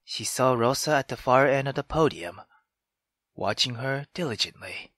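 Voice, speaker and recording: A let's player narrating a visual novel aloud in an expressive storytelling manner. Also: mid-range voice, normal speed, no room sound, clean studio sound. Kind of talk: dramatic visual novel narration with brief reactions.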